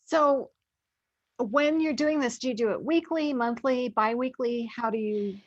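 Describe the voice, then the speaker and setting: Woman, interview host, somewhat high pitch, conversational questioning, lo-fi sound. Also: measured pace, no room sound. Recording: dull, lo-fi audio.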